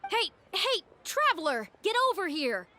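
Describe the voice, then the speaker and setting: A woman's voice: very high-pitched, sing-song, a little slow, bright, forceful tone, a little hiss or hum, heavily acted. A woman voicing an anxious, easily exasperated young female opera troupe manager in a video game, flustered and pleading.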